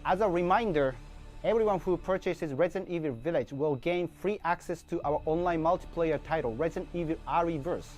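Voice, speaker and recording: A man with a fairly high voice delivers a scripted presentation as a game producer. He addresses viewers formally, with faint music underneath. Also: a little fast, darkish tone.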